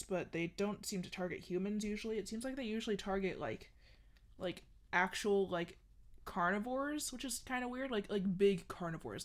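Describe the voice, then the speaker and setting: A woman hosting a horror comedy fiction podcast, rambling along with lots of fillers. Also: mid-range voice. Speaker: podcast host playing a restaurant worker. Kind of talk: scripted horror comedy monologue.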